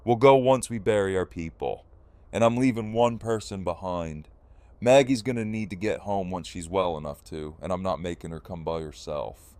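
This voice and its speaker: Guy as tired determined survivor leader, lowish voice, dry audio drama recording. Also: moderate pace, a little mellow, smooth, no room sound, natural voice. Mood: resolute, weary. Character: weary, resolute male leader of grieving survivors. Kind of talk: full-cast audio drama acting.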